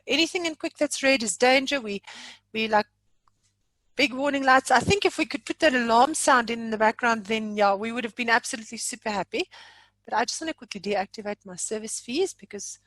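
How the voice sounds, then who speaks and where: A South African woman giving an informal software demonstration, chatty and jokey, poking fun at herself. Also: on the high side, normal speed, no room sound, rough recording. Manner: chatty, jokey, self-deprecating. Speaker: software trainer.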